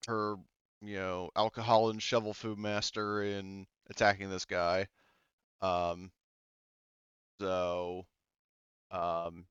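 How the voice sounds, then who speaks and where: A low, smooth-voiced man runs a tabletop game, patiently checking each rule and roll with his players. His voice is resonant but comes through dull, lo-fi voice chat audio with a dry sound. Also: dry recording. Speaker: game master.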